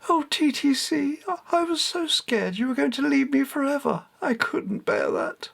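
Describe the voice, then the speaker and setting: An anxious young schoolboy in a storybook, played by a man, pleads in a very high, swooping voice. He is affectionate and hesitant. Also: animated, moderate pace, creaky, fairly bright tone, full character voice. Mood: anxious, pleading. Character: anxious, affectionate schoolboy attached to his cat.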